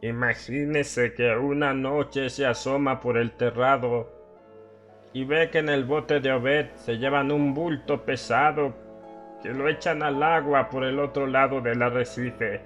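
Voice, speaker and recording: A man voicing a hysterical old townsman in a horror audiobook, rambling conspiratorially about dark secrets. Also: mid-range voice, pitched above the performer's own voice. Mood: ominous. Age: older. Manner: agitated, conspiratorial, laughing and questioning his listener. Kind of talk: dramatised horror audiobook monologue.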